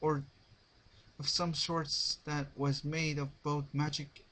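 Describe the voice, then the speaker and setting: A man's voice: fairly high pitch, little pitch movement, takes it slowly, bright, forceful tone. Man reading as a dignified female pony ruler. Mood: grave, concerned.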